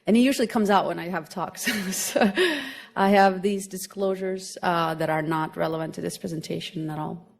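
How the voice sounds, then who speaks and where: A woman with a Swedish accent delivers a medical lecture in a fairly low voice. She explains her points patiently and adds small touches of humor, often pausing and restarting. She speaks in a room with an audience listening. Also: steady tone, vocal fry. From Finland. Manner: explanatory, gently humorous, many hesitations. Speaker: grand rounds lecturer, pediatric gastroenterologist.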